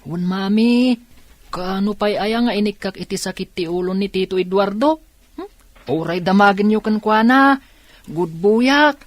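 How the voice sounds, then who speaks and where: A man's voice: high voice, measured pace, dry recording. A man playing an eager, coaxing schoolboy son in an audio drama.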